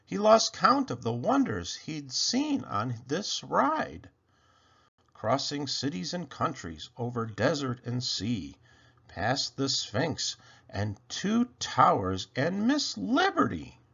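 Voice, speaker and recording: Guy reading a rhyming kids book out loud, really slow and theatrical, bit gravelly, slightly muffled audio. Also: medium pitch, huge pitch swings. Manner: theatrical, deliberate phrasing. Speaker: children's storybook reader. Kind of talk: expressive rhyming picture-book read-aloud.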